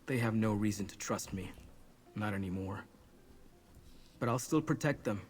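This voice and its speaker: A man's voice: fairly low pitch, normal speed, a little hiss or hum, lightly acted. An actor playing an earnest, apologetic young man burdened with guilt in a video game. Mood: resolute, regretful.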